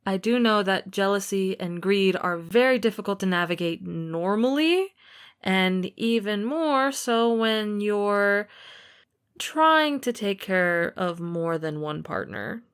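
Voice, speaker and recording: A woman's voice: medium pitch, a bit bright, clear, resonant tone. A woman playing a protective, teasing and affectionate older sister in a conversational, sincere audio roleplay. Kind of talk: amateur audio roleplay acting.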